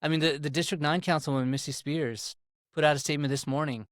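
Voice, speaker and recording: A man's voice: fairly high pitch, steady tone, fast talker, no room sound, studio quality. A man from Pakistan speaking as a podcast guest, earnest and impassioned, often repeating words as he makes his point. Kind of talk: casual political discussion.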